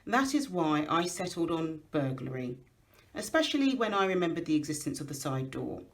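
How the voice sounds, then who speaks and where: A woman's voice: low-pitched, sing-song, normal speed. Woman playing a commanding, swooping old male wizard. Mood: wry, emphatic.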